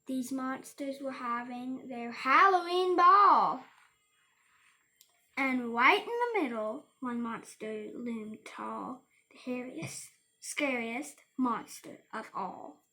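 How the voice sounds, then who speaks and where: Bright, high-voiced young girl reading aloud. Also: lively intonation, slow pace, rough recording. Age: child. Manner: stumbles over words and repeats them. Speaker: young YouTuber reading a storybook. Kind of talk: halting read-aloud of a rhyming storybook, casual chat.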